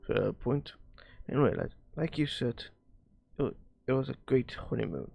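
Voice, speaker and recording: A man reading visual novel dialogue aloud on a live stream, haltingly, often stumbling over words and repeating phrases. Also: mid-range voice, creaky, darkish tone.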